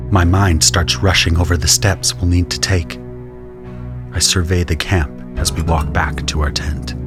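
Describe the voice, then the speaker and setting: Deep-voiced man narrating an audio drama. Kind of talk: scripted first-person audio drama narration.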